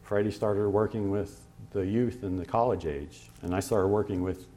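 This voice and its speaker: Male pastor, lower voice, conversational sermon delivery with fillers, lands each scripture reference slowly. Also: steady tone, warm tone. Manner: slows to stress scripture references.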